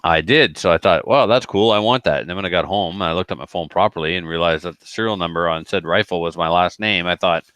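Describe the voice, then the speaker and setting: A man's voice: deep voice, fast talker, hardly any pauses, fairly bright tone, smooth, no echo. A man co-hosting a podcast in casual banter, joking and rambling, often trailing off. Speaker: podcast co-host.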